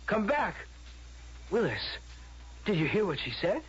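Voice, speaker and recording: An actor playing a needy, indignant, insensitive suitor in a vintage radio drama, petulant and demanding. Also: male voice, high voice, sing-song, a little slow, slightly rough, exaggerated voice. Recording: dull vintage radio recording.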